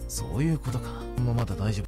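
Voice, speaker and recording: A man's voice: medium pitch, expressive, very fast, close to an everyday voice. A man voicing a blunt, earnest workman mentor who makes solemn declarations in an anime visual novel. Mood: blunt, insistent. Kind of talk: Japanese visual novel voice acting. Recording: game dialogue over clear background music.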